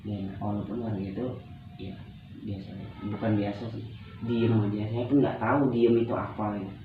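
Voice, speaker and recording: A man's voice: fairly low pitch, steady tone, brisk, music in the background. A young man with a Medan accent from Indonesia, speaking hesitantly as he talks over personal problems in a counselling conversation. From Medan, North Sumatra, Indonesia. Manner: hesitant, repeats phrases. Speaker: client in a practice counselling session. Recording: very echoey, muffled, faint background noise.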